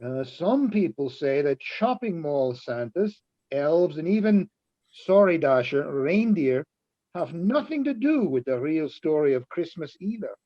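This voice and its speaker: A man's voice: a little high, big pitch swings, measured pace. A man reading the part of an agreeable, chatty friend in an amateur radio play, searching for words, on slightly dull audio. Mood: agreeable, questioning.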